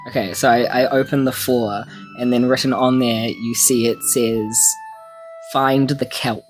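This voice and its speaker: A woman's voice: very deep voice, fairly even intonation, a little slow, faint music behind, poor audio. A woman with a New Zealand accent chatting and improvising playfully in a tabletop role-playing game, in a rambling, jokey way. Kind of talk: casual improvised role-play chat.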